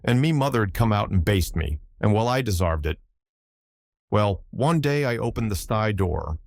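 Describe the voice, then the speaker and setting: Guy doing an old irish sailor for an audiobook, chatty yarn spinner, excitable, lowish voice. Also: dry recording, clean studio sound, lightly acted. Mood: storytelling. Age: older. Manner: rambling, colloquial yarn-spinning.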